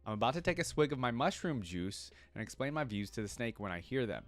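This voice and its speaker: A boastful, cranky little frontier warrior read out by a man tells his own storybook tale. He grumbles in folksy, grandiose phrases. The pitch is middling and the sound is close and dry. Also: sing-song, fast, no room sound, faint music behind. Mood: grumbling. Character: cantankerous, boastful small male frontier warrior creature. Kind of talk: first-person story reading.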